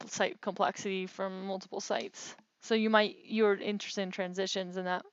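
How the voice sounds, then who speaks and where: Casting: woman researcher, smooth clear voice, flat intonation, informal technical explainer on a slightly lo-fi phone call. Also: medium pitch. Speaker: researcher explaining mapping metrics.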